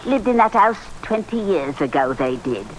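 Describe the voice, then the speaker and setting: A woman's voice: medium pitch, very animated, moderate pace, lightly acted. Gossipy country innkeeper played by a woman, swooping intonation. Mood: ominous, gossipy. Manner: folksy, insinuating. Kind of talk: old-time radio drama acting. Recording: noisy vintage radio recording.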